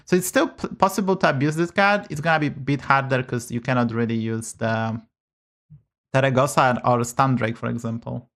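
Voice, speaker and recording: A man's voice: medium pitch, a bit bright. A man with a Polish accent, a native Polish speaker, giving blunt, swear-heavy casual commentary on a game stream from a home setup. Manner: blunt, swears often, rants about bugs.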